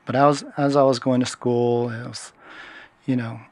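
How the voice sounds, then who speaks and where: A gravelly-voiced middle-aged man from Washington State, talking through his past as a podcast guest. He is relaxed and unhurried, pausing and restarting often. The sound is dry and close to the mic. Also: medium pitch, dry recording. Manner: relaxed, hesitant storytelling with many fillers. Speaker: guest, security consultant. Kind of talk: casual interview talk.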